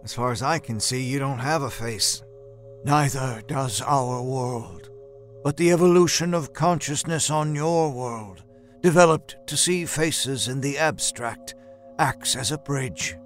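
Solemn Martian creature, played by a male narrator: very slow, grave, godlike pronouncements over background music. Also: mid-range voice, close to an everyday voice. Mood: grave, cold. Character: solemn otherworldly Martian creature claiming godhood.

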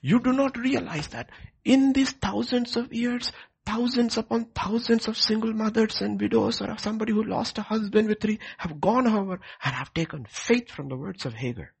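A man with a husky voice preaching a sermon, emphatic, repeating key phrases and swinging between hushed and loud delivery. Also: high-pitched, moderate pace. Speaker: preacher leading a prayer service.

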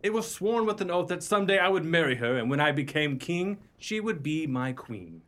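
Young monarch for radio comedy: lightish high voice, lively inflection, courtly, ardent, formally declamatory. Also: male voice, a little fast. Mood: ardent wooing. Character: noble young king revealed from disguise, courtly and ardent. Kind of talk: theatrical radio pirate comedy acting.

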